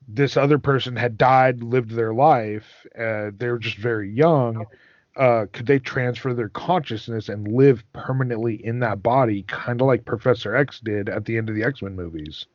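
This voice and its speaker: A man hosting a casual podcast, chatting like a fan and haltingly repeating words as he works out his questions. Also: mid-range voice, no echo. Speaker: podcast host. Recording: dry but dull, lo-fi microphone.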